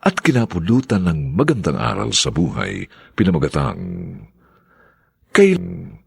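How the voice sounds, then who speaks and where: A man's voice: sits low, wildly swooping pitch, unhurried, creaky, breathy edge, no echo, studio quality. A man announcing a radio drama in formal, scripted narration with a grand theatrical delivery.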